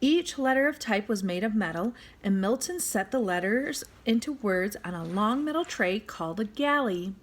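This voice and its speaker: A woman reading a children's book aloud as an expressive storyteller, speaking directly to young listeners. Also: mid-range voice, moderate pace, hardly any pauses. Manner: animated, addresses young listeners with questions and asides.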